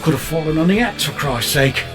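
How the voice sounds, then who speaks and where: An actor playing a bitter, unravelling British photographer in an audio drama monologue, rambling and coarse, darkly matter-of-fact. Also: male voice, on the high side, animated, brisk, a bit bright. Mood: agitated, resentful. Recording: music under the voice.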